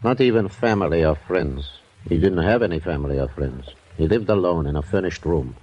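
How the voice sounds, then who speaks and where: An actor with an American accent playing a weary, cynical yet sentimental police lieutenant in a 1950s radio drama, sardonic and plain-spoken. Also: male voice, low-pitched, expressive, brisk, dark tone, husky, lo-fi sound. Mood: weary, somber. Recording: old radio recording with faint noise.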